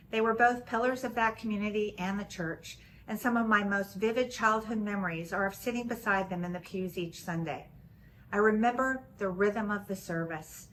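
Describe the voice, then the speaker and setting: A woman in her forties from Texas, with a slight Texan accent, giving a gentle, measured personal testimony as a church member. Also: medium pitch, slight background noise, low-quality recording.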